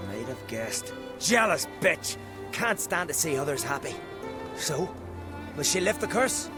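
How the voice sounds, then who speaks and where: A troubled peasant father in a fantasy video game. His voice sits on the high side, emotional and rustic, over clearly audible music. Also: big pitch swings, a little slow. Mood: angry, desperate. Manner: rustic, archaic wording, emotional. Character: anguished, honorable male villager, father of a sick child.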